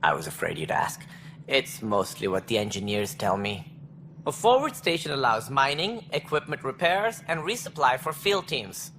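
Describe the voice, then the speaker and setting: An actor playing an officious alien station director in a video game, speaking precisely and formally with a wry, earnest streak. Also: male voice, mid-range voice, big pitch swings, moderate pace, fairly bright tone, a little hiss or hum. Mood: wry, advisory. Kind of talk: video game dialogue acting.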